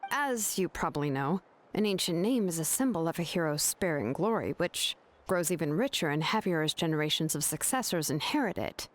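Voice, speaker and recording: Frank, expressive young craftswoman. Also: low voice, a little fast, slight background noise. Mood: explanatory. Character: young adult female master craftswoman, frank, cool, exasperated. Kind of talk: animated video game voice acting.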